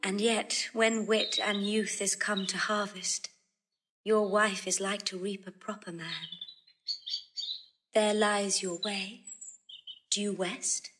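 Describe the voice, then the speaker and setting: An actress playing a proud, passionate, lovesick noblewoman in classical verse drama, with a breathy voice. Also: mid-range voice, little pitch movement, takes it slowly, pauses often, natural voice. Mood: wistful, proud. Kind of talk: classical verse drama acting, recorded audio drama.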